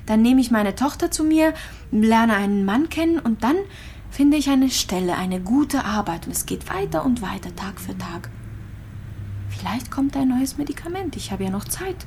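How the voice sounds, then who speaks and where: An actress playing a terminally ill patient in a radio play, musing in a plain, halting, intimate way, resigned yet clinging to hope. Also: female voice, a little high, animated, a little mellow, slight background noise. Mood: wistfully hopeful. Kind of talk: radio drama monologue, intimate and naturalistic.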